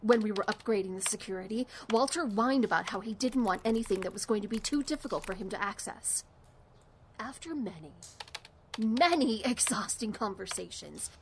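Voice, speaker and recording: A young woman acting in an audio drama as a sharp, wry, tech-savvy younger sister. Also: mid-range voice, background noise, lightly acted. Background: music.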